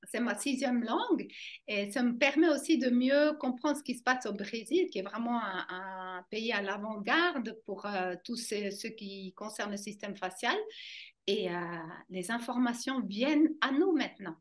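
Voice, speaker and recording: Woman, slightly high voice, animated, conversational personal account. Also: unhurried. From German-speaking Switzerland. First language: German. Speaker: interview guest, fascia trainer.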